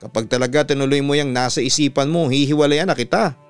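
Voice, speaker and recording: A man's voice: mid-range voice, fast talker, clear, resonant tone, no room sound, soft background music, lightly acted. A Filipino man voicing a young woman, a scolding but affectionate sweetheart, in a narrated story. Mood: chiding.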